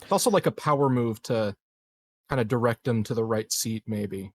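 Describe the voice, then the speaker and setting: A man's voice: medium pitch, moderate pace, no room sound. A man playing a tabletop roleplaying game, talking casually and tentatively, repeating words as he thinks aloud.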